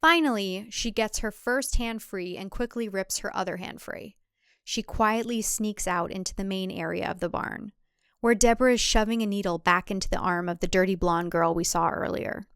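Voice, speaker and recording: A woman's voice: medium pitch, normal speed, a bit bright, clear, resonant tone, no echo, studio quality. A woman reading scripted present-tense thriller narration for an audio drama.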